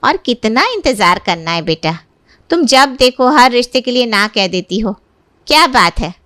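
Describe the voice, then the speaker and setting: A woman's voice: on the high side, big pitch swings, normal speed, bright, forceful tone. A woman voicing an insistent, nagging, worried middle-aged mother in a cartoon family story. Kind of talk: cartoon moral-story dialogue.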